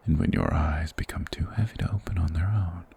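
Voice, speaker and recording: A man voicing a sinister, soothing forest spirit in a fantasy ASMR roleplay, speaking in a hushed, formal, hypnotic and coaxing manner. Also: sits low, steady tone, fairly quick, full character voice. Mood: ominous, hypnotic. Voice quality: gravelly, breathy whisper.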